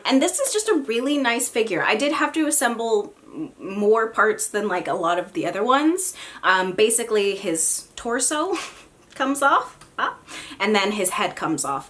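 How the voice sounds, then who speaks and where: Sick raspy woman chatting about figures. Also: mid-range voice, fairly bright tone. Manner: chatty, self-mocking, frequent ums and likes. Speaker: figure collector vlogger. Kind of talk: casual unboxing chat. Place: home room with figure shelves. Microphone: camera at desk distance.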